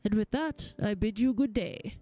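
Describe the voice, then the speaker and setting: Woman doing a courteous, measured male weaponsmith. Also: medium pitch, dark tone, no echo, voice pushed down, lightly acted. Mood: businesslike. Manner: formal, courteous. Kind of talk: video game dialogue read-aloud. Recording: faint music behind.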